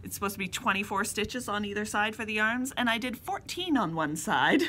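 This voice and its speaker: Bright-voiced woman from Eastern Canada. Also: medium pitch, animated, brisk, a little hiss or hum. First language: French. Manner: matter-of-fact, explains knitting steps in detail. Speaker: podcast co-host. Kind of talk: casual chat.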